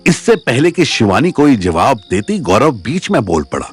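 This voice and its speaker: Lively, expressive male storyteller. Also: medium pitch, moderate pace, slightly rough. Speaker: narrator. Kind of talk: scripted audio story narration in Hindi with English words. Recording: music bed behind voice.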